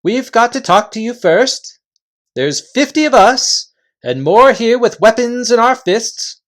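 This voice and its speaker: A high, loud invisible chief from a storybook, as acted out by a reader. He is a simple-minded, blustering servant spokesman who rambles in folksy repetitions, his pitch bouncing about. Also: expressive, unhurried, close to an everyday voice. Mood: blustering, emphatic.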